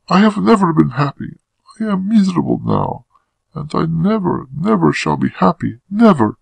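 Audiobook role: man playing a distraught young wife, pitched high, breathy, frightened, urgently pleading. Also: dry recording, exaggerated voice. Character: anguished young married woman, frightened and pleading.

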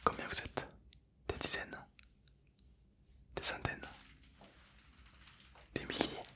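A man's voice: slow, close to an everyday voice. Casting: man playing a kindly ghost zombie for ASMR roleplay, whispering, soothing and repetitive, playful about eating brains. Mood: gentle, playful. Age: 20s. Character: friendly calm brain-loving ghost zombie.

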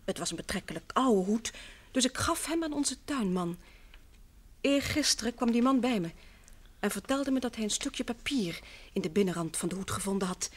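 A woman's voice: a little high, lively intonation, moderate pace, husky. An actress playing a distraught, earnest wife in a vintage radio play, emphatic and sometimes hesitant as she insists. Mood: earnest, explaining. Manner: earnest, emphatic, hesitant and repeating herself when upset. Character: distraught, insistent wife convinced her missing husband lives.

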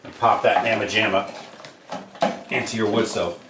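American male DIY presenter, low-pitched, casual and rambling, recorded in an echoey living room. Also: fairly even intonation, normal speed. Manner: offhand, slangy, rambling. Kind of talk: casual hands-on how-to demonstration. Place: indoors, living room by wood stove. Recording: roomy echo, noise of handled objects.

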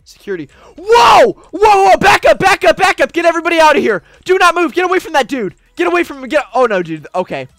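A man doing upbeat roleplay commentary over a game, shouting commands when things get tense. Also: very high-pitched, big pitch swings, quick pace, few pauses. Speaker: gaming YouTuber. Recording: faint background music.

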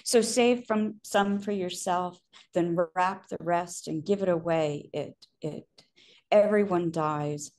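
Low-voiced older woman reading slowly. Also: darkish tone. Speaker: poet. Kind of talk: measured poetry reading. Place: living room indoors. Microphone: video call webcam.

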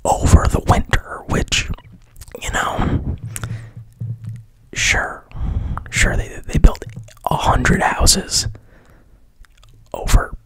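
A man whispering an ASMR retelling of a film plot into a mic, rambling and deadpan, with constant swearing. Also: very slow, long pauses. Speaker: ASMR host. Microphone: studio mic.

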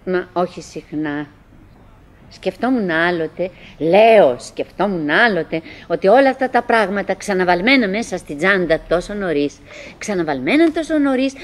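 An actress playing a chattering, wistful, nagging wife who clings to cheer, in halting, repetitive theatrical monologue for an absurdist radio play. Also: female voice, mid-range voice, lightly acted. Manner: halting, repetitive, theatrical, mimicking remembered dialogue.

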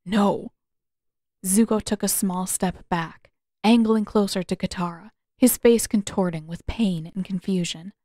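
A woman reading scripted fiction aloud as a narrator, with expressive, dramatic delivery. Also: medium pitch, normal speed, dry recording, studio quality. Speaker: audiobook narrator of fan fiction.

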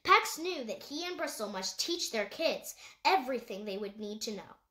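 High female voice, storybook narration, audiobook reader, echoey room. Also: lively intonation, normal speed, bright, forceful tone.

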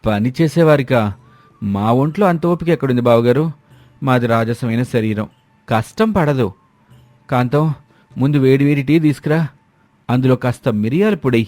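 A man's voice: mid-range voice, normal speed, pauses often, a little mellow, no echo, faint music behind, close to an everyday voice. Man as a pompous, lazy village brother-in-law. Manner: self-indulgent, demanding.

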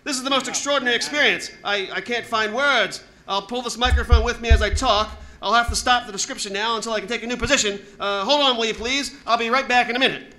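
A man playing an excitable radio field reporter giving a halting eyewitness account in a 1930s radio drama. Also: very high voice, low-quality recording. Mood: awed, unsettled. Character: male radio reporter, awed and increasingly panicked eyewitness.

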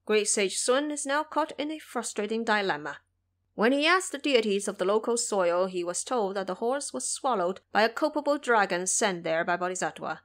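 A woman's voice: mid-range voice, natural voice. A woman voicing a humble, dutiful male guardian deity in an audio drama, formal and deferential. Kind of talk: audio drama character acting.

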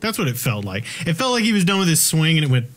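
A man's voice: a little high, big pitch swings, quick pace, fairly bright tone. A man playing a video game and commenting casually on it, grumbling and swearing in exasperation. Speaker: video game player, co-host. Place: indoor room, webcam setup.

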